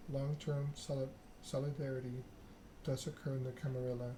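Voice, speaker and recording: A man's voice: mid-range voice, little pitch movement, brisk, noisy background. A man doing an ASMR read-aloud of a narrative game, occasionally stumbling over the written text. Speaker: gaming channel host.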